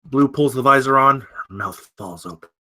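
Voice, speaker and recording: A man's voice: mid-range voice, normal speed, bright, forceful tone. A man narrating screenplay stage directions in a dramatic, scripted style at a table read.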